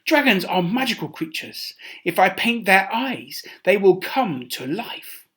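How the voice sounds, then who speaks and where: A humble court painter from a fairy tale, worried and pleading, played by a man reading a storybook. He speaks earnestly in a raised, lively voice. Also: high voice, a little slow, voice pushed up, exaggerated voice. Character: humble male court painter, anxious and protesting. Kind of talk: children's storybook character voice.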